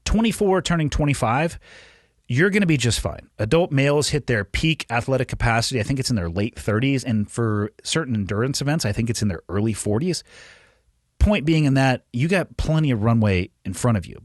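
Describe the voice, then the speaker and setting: A man's voice: medium pitch, expressive, a little fast, dry recording, studio quality. A man, hosting a podcast and giving blunt, profane advice while reading listener questions. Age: 40s. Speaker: podcast host. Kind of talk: solo Q&A advice segment.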